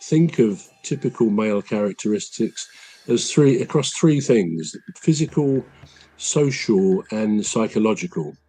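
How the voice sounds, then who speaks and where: Rambling man, low mellow voice. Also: lively intonation, unhurried, faint music behind, poor audio. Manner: rambling, self-correcting, gently humorous. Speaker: podcast co-host. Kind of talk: casual chat.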